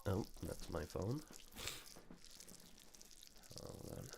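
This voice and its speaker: Male streamer, very low register, unhurried pace, excitable, half-muttering casual delivery. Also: vocal fry, over loud music. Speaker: streamer and amateur voice actor. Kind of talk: casual live-stream chat.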